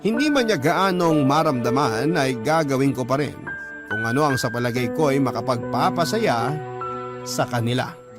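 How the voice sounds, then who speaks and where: Man acting earnest Filipino confessor over loud music. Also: medium pitch, animated, normal speed, close to an everyday voice. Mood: confused, earnest confession. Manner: confessional, heartfelt. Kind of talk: dramatised letter narration, radio storytelling.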